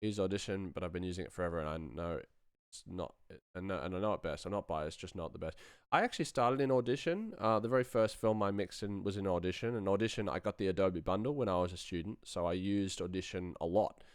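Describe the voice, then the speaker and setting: A man giving an informal, self-deprecating tutorial on sound design software in casual, slangy language. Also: deep voice, quick pace, clear, resonant tone, no room sound, clean studio sound. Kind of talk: casual live-streamed software demo.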